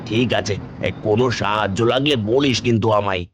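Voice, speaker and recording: A man playing a gentle, weary poor village father, plain-spoken and affectionate, in a Bengali cartoon fairy tale. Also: lowish voice, fairly quick, lightly acted. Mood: friendly concern. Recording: faint rain noise behind.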